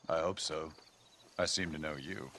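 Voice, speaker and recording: A man playing an enigmatic, faintly menacing gentleman stranger in a western game, speaking in a measured, needling manner. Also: rumbling low, fairly even intonation, heavily acted. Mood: knowing, needling. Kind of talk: video game cutscene acting. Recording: faint outdoor insect noise.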